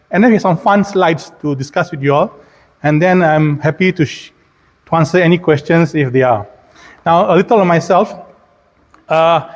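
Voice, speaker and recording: A middle-aged man with a Malaysian accent giving a conference keynote, putting rhetorical questions to his listeners. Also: a little high. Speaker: keynote speaker, engineering professor. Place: large indoor conference hall, speaking at a podium. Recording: hall reverb from a PA.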